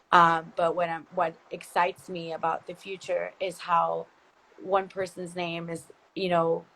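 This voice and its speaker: A woman from Costa Rica leads a casual livestream interview over a video call. Her voice sits a little low, and she talks in long, chatty, rambling turns full of restarts. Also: fairly bright tone, poor audio. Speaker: interviewer, company founder. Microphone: phone, video call.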